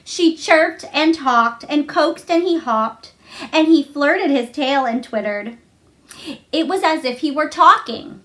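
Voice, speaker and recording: A woman's voice: sits high, expressive, slow, fairly bright tone. A woman narrating a children's novel aloud as a storybook reader.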